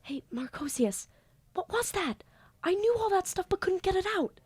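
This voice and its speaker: A woman's voice: very high-pitched, big pitch swings, normal speed, darkish tone. A woman playing an awkward, eager young student who dabbles in demon summoning, in an amateur audio drama. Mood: frustrated, sheepish. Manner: casual, hesitant, self-deprecating. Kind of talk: amateur audio drama acting with first-person narration. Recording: echoey room.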